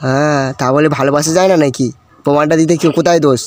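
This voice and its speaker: Young man, slightly high rapid voice, teasing and insistent, noisy amateur audio. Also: steady tone. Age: young adult.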